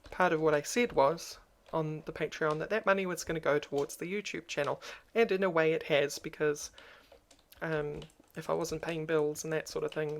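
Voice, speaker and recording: Crackly, flat, hesitant New voice. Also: medium pitch. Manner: subdued, hesitant, frequent ums. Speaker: YouTuber. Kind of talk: personal vlog talk to camera.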